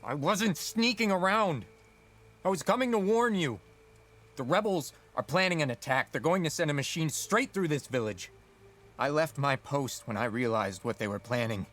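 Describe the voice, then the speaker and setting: An actor playing an earnest, remorseful young soldier who deserted the rebels, in a video game cutscene. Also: male voice, a little high, lively intonation, normal speed, a bit bright. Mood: urgent pleading.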